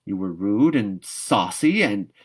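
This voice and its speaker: A man voicing a stern but fair middle-aged woman in a storybook, with a dry, measured, admonishing manner. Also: fairly low pitch, very animated, slightly rough, exaggerated voice. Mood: firm, softened. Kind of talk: storybook read-aloud character voice.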